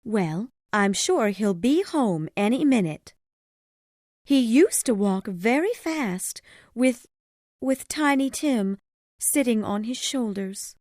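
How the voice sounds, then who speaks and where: Storybook mother, played by a woman narrator: high, thin and soft, tender, careworn but bravely cheerful, with lively swoops. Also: slow pace, dry recording, studio quality.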